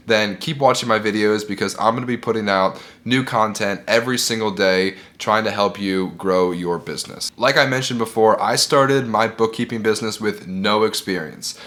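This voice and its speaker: A man's voice: lowish voice, moderate pace, few pauses, fairly bright tone. A man who is sick and congested, giving earnest, encouraging business advice straight to camera and repeating his key points. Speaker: bookkeeping business owner and video host.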